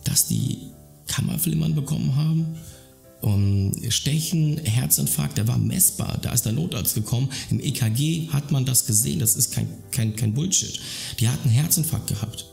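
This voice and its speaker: A man's voice: medium pitch, moderate pace, gravelly, dark tone, soft background music. A man in his thirties talking earnestly to camera from a dim room at home, rambling with restarts and fillers as he explains things. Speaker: YouTuber talking about mental health. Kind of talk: casual explanatory monologue to camera.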